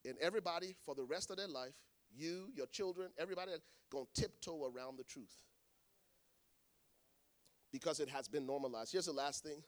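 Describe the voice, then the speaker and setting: A man preaching a sermon to a congregation, swinging between hushed lines and shouted ones. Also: a little high, brisk. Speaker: pastor. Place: church in front of a congregation.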